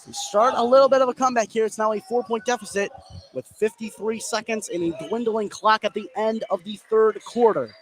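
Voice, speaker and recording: A male American radio announcer delivers live basketball play-by-play in a fairly high voice. He calls the action excitedly. Clear venue noise runs behind him. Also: fairly quick. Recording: live game broadcast with clear background noise. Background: sports venue noise.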